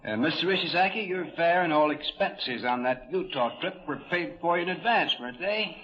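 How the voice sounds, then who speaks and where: A man's voice: a little high, expressive, normal speed. A man hosting an old-time radio program, interviewing and narrating in a folksy, conversational manner. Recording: dull, muffled old radio recording.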